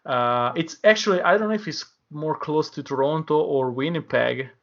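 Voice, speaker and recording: A man hosting a podcast interview, speaking in quick bursts with many fillers and grammar. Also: fairly high pitch, vocal fry, a bit bright, muffled recording. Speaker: podcast host.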